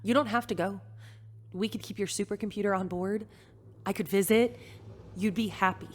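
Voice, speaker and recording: A woman's voice: medium pitch, steady tone, moderate pace, lightly acted. An actress playing an anxious, earnest programmer mother in an audio drama. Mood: pleading. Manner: earnest, pleading. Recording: long hall reverb with music.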